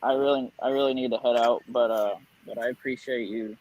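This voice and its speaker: A man chatting casually as a livestream guest in a friendly, halting way, often repeating his phrases. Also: mid-range voice, steady tone, dull audio. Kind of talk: casual chat.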